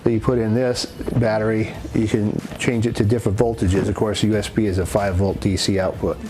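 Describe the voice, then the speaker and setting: A man giving an unscripted product demonstration in a halting, matter-of-fact way, as a presenter explaining equipment. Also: lowish voice, flat delivery, music in the background. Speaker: presenter demonstrating radio gear. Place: indoors in a house.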